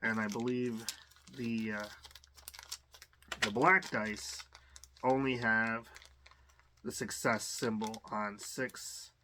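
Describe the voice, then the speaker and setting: Bright-voiced man chatting through an unboxing. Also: lowish voice, steady tone, slow pace, long pauses, slight background noise, rough recording. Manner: hesitant, rambling, thinking aloud. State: under the weather, occasional cough. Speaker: host of a collector's unboxing channel. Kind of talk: casual unboxing commentary.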